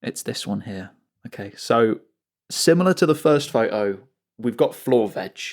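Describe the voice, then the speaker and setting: A man hosting his own channel, talking slowly through an unscripted commentary with asides and frequent restarts. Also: mid-range voice, animated. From England. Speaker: channel host.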